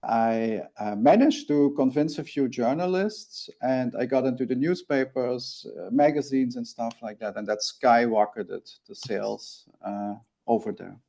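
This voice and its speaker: A man in his forties from Belgium, a native Dutch speaker, talks through his story in an interview. His voice is mellow and warm, at a middling pitch. He speaks earnestly and haltingly, often restarting his sentences. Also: creaky. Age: 40s. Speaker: guest.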